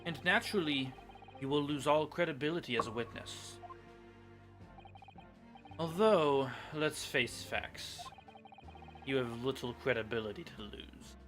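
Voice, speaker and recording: A man's voice: mid-range voice, unhurried, pauses often, fairly bright tone, faint music behind, natural voice. A man voicing a haughty, sardonic prosecutor in a Victorian courtroom, formal and condescending, in a video game fan dub. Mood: menacing, scornful.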